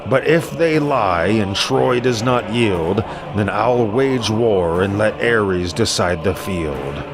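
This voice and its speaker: A man playing a solemn ancient king, declaiming prayers and proclamations in measured verse for an audio drama. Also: lowish voice, expressive. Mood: solemn invocation.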